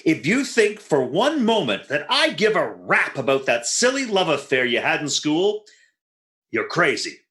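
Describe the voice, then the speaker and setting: A man acts out a jealous, long-suffering husband from a 1940s radio comedy. His bright, sharp voice swings widely as he talks with indignant sarcasm. It sounds roomy and echoey. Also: on the high side, wildly swooping pitch, a little slow, exaggerated voice. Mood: indignant, sarcastic. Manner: indignant, sarcastic, put-upon.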